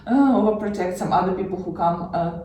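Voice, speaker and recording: A woman's voice: lowish voice, fairly even intonation, fast, slight background noise. A middle-aged woman from Siberia with a Russian accent, telling her life story as an interview guest, reflective and hesitant with frequent laughs. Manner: warm, reflective, many hesitations, laughs often. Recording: echoey room from far off.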